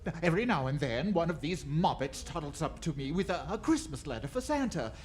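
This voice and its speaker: A woman's voice: deep voice, sing-song, brisk, darkish tone. A woman playing an effusive, chuckling costumed store Jack Frost in an old-time radio drama. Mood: anxious, hurried. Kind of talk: 1940s detective radio drama acting.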